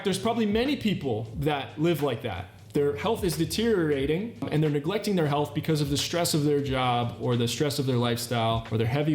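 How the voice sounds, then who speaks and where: Man, 30s, earnest explainer, slightly high voice, echoey room, faint music behind. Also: darkish tone. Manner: earnest, explanatory, with repeated list-like phrasing. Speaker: channel host. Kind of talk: self-improvement talk. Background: faint background music.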